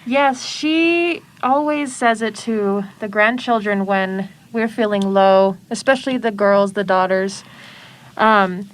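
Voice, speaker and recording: A woman's voice: medium pitch, slow pace, rough recording. A woman in her twenties, a guest on an interview podcast, telling about her family and life in an earnest, matter-of-fact way. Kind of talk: casual interview conversation.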